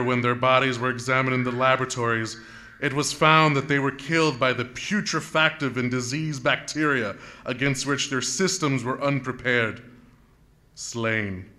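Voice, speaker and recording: An actor playing a weary male survivor of a Martian invasion, narrating solemnly and deliberately in a radio drama. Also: mid-range voice, bright, forceful tone, a little hiss or hum. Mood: grave. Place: planetarium dome theater, live performance.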